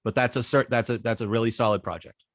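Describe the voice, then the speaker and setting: A man teaching informally as an instructor, explaining in a halting, hesitant way with many filled pauses and false starts. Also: medium pitch, steady tone, dry recording, clean studio sound. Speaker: web design instructor.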